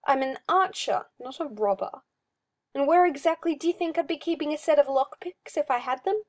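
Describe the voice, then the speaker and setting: A woman's voice: high-pitched, normal speed, pitched above the performer's own voice, close to an everyday voice. A woman voicing an indignant young male archer who speaks in a huffy, clipped way.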